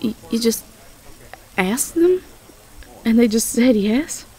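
A woman playing a tender, easily overwhelmed Southern belle girlfriend in a roleplay audio drama, with a Southern US accent and a halting, breathy delivery. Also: fairly high pitch, animated, poor audio. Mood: amused, touched. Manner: soft, halting, repeats words.

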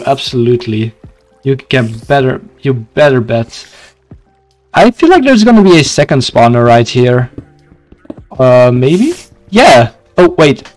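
A young adult man streams a video game with a Dutch-speaking Belgian accent. His voice sits at a medium pitch, and he chats casually, breaking off and restarting sentences as he reacts. Music plays behind him. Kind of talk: casual live gaming commentary with chat.

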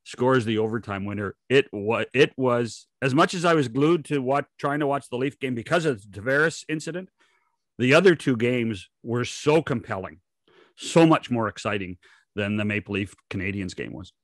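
A man's voice: mid-range voice, lively intonation, normal speed, no echo. An older man co-hosting a sports podcast, chatting casually and emphatically into a desk microphone, often repeating phrases for weight. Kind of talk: animated casual sports chat. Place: home study on a video call.

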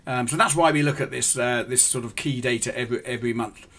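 A middle-aged man hosts a live forex trading stream, explaining the markets in a halting way with many ums and restarts. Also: medium pitch, fairly bright tone. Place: small indoor room.

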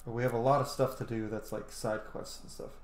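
A man's voice: mid-range voice, steady tone, fairly quick. A man in his thirties giving casual commentary on a video game playthrough from a small room. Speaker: game streamer. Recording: echoey room, game music behind.

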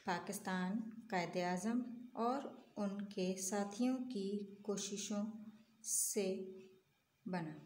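A woman's voice: medium pitch, a little mellow, a little hiss or hum. A woman teaching young children, patiently asking questions and answering them herself. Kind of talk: online lesson for young children. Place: room at a desk, voice over an open textbook. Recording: echoey room with faint ambience.

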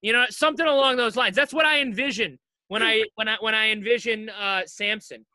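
A man's voice: high voice, fairly even intonation, fairly quick, pushed and bright, no room sound, poor audio. A man in his thirties chatting casually and playfully as a podcast host on a video call. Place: home basement.

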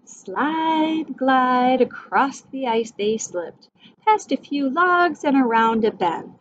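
A middle-aged woman teacher reading a storybook aloud to young children, expressive and addressing them directly. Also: high-pitched, takes it slowly. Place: small indoor room with whiteboard. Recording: dull sound, faint background noise.

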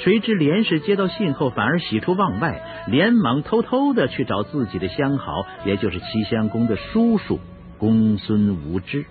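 A man's voice: medium pitch, sing-song, normal speed. A man narrating a radio drama as a dramatic storyteller, reading a scripted tale. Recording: muffled radio recording with music. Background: clear background music.

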